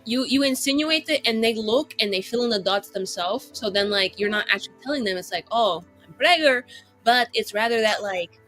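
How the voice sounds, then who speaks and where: A woman's voice: a little high, expressive, brisk, a bit bright, faint music behind. A woman improvising casually in a tabletop roleplaying game, conversational and playful.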